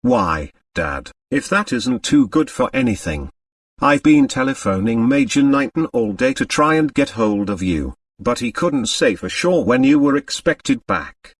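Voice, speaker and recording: A man's voice: mid-range voice, very animated, measured pace, no room sound, far from the mic. A man voicing a headstrong American heiress of twenty-eight in an audiobook. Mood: delighted.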